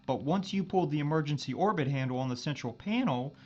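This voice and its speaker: A man's voice: on the high side, animated, fast talker, dull audio. A man acting a blunt, exasperated spaceship crewman in a science fiction audio drama, informal and sarcastic. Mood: sarcastic, accusing.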